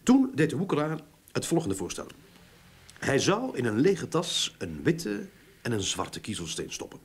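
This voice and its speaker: An actor playing a talkative, enthusiastic sleuth in a radio drama, explaining things eagerly in a husky voice with emphatic repeats. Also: male voice, medium pitch, wildly swooping pitch, normal speed, full character voice. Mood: storytelling. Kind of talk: Dutch radio drama acting.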